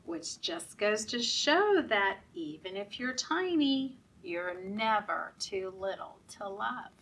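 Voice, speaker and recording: A woman reading picture books aloud at a children's storytime, animated and interactive, as if leading young listeners along. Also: medium pitch, slow pace, a little hiss or hum. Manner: animated, interactive, asking children questions and prompting actions. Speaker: children's storytime reader at a library. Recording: voice at a distance from mic.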